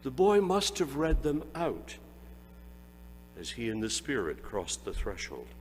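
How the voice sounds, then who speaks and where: A man narrating a dramatic reading of a classic story in an expressive, measured way. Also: mid-range voice. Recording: hall reverb, faint mains hum.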